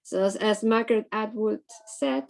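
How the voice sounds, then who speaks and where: A woman gives a conference talk over a computer mic on a video call. Her pitch sits in the middle, and her delivery is loose and informal, with frequent breaks and restarts as she searches for the next word. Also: normal speed, gravelly, darkish tone. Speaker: presenter on English teaching.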